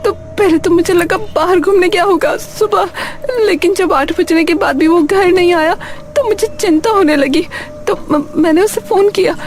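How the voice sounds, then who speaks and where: A woman's voice: extremely high pitch, normal speed. A woman voicing a terrified middle-aged mother whose son has gone missing, stammering and crying as she speaks. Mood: tearful panic. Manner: sobbing, stammering, frantic. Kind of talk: horror cartoon voice acting.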